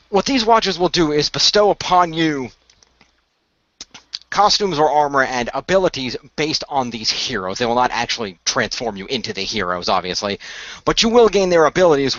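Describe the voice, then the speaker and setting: A man playing a composed, knowledgeable leader of a secret hero team, speaking in measured, formal explanations. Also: fairly high pitch, expressive, fairly bright tone, no room sound. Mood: earnest, explanatory. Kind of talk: amateur radio play acting. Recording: dry, slightly muffled home recording.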